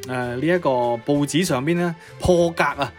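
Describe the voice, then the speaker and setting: Young hk guy co-host, slightly high voice, chatty but going off notes, light music under him. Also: normal speed. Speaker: co-host of music history podcast. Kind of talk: conversational presenting with prepared notes.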